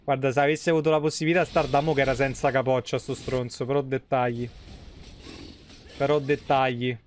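A man's voice: mid-range voice, a little fast, slight background noise. A young man giving casual, foul-mouthed gameplay commentary as a live streamer. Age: young adult. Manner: foul-mouthed, animated reactions to game and chat. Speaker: video game live streamer. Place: small indoor room at home.

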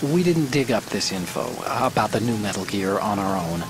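A man's voice: on the low side, animated, moderate pace, noisy background. An actor playing an earnest, worried young male tech support partner in a dramatic video game. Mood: hesitant, confessing. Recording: music and rain behind.

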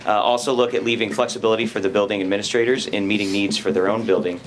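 A man's voice: lowish voice, fairly even intonation, fast talker, slight background noise. A man in his thirties, a teachers' association representative, giving an enthusiastic, earnest report to a school board. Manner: enthusiastic, earnest, standing while speaking. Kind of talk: spoken report at a board meeting. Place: school board meeting room, indoors.

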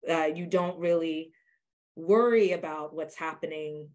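A woman's voice: sits low, a little slow, a little mellow. A woman speaking as an actor on a video call panel, reflective and searching for her words in a casual discussion. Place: indoor room on a video call. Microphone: video call computer microphone.

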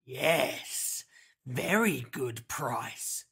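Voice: medium pitch, expressive, takes it slowly, bright, forceful tone, husky, exaggerated voice. A narrator voicing a menacing, skinny grey man who sneers and growls as he gloats in a children's story. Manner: sneering, growling, repeats himself in gloating tags.

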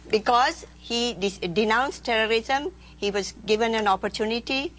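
A woman's voice: fairly high pitch, unhurried, noisy background. A woman, debating as a panel guest in a studio. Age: 60s. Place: TV studio round table. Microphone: lapel.

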